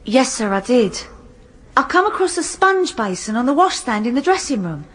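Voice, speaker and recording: Housemaid witness: high, animated voice, deferential and plain-spoken, radio courtroom drama. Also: female voice, moderate pace, husky. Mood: earnest, deferential. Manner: deferential, simple rustic grammar.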